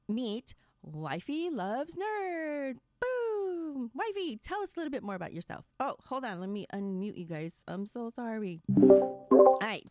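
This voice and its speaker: A woman hosting a casual livestream podcast as a variety streamer, upbeat and excitable, with lots of ums and restarts. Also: medium pitch, no echo.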